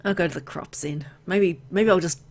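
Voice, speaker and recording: Low, creaky-voiced woman narrating a game. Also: normal speed, a little mellow. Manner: reacts playfully to the story with exclamations and asides. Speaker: video game let's player. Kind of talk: reading game narration aloud with casual asides.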